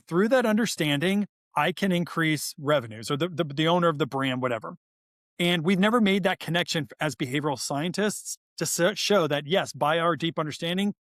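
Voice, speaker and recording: Man, slightly high voice, dry sound, guest expert, conversational explaining, emphatic and informal. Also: fairly bright tone, no room sound. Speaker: guest expert, author and behavioral scientist. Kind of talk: conversational explanation on a marketing podcast.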